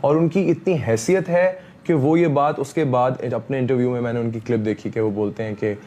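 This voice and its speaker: Emphatic man, mellow voice. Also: medium pitch, fairly even intonation, a little fast. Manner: emphatic, repetitive, frequent fillers. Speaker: interview guest. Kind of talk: heated interview talk.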